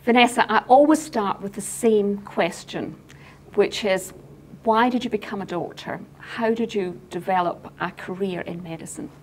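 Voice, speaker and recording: A woman's voice: medium pitch, normal speed, a little hiss or hum, poor audio. A middle-aged woman hosting an interview series, introducing her guest and asking questions politely and formally. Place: office interview set, indoors.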